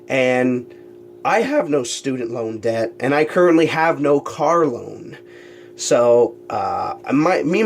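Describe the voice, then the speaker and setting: A man's voice: mid-range voice, darkish tone, a little hiss or hum. A man hosting his own talk show, congested from allergies and a cold, rambling casually with lots of ums and restarts. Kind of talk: casual solo monologue to camera.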